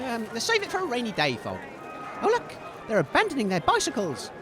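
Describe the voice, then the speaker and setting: A British man playing a cheerful, naive radio host, earnest and excitable, giving mock event commentary in an audio comedy. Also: extremely high pitch, a little fast, music in the background, crowd noise, exaggerated voice. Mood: explaining, chiding. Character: cheerful naive male radio host, gently chiding his co-host.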